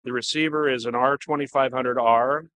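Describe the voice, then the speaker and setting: A man giving an unscripted, explanatory product presentation in a measured, halting way, as a company representative. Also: medium pitch, fairly even intonation, fairly bright tone, a little hiss or hum.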